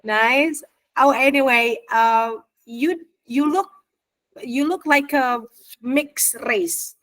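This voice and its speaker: A woman's voice: high-pitched, takes it slowly. A woman with an Indonesian accent teaching an English class online, leading a role-play and mixing Indonesian with English. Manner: lively, switches between Indonesian and English.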